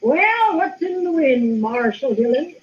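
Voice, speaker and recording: An actress playing a wry, teasing older saloon keeper in an old-time radio western, brassy and familiar. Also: female voice, sits high, big pitch swings, unhurried, a little hiss or hum. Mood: teasing, hearty. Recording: dull, lo-fi old radio recording.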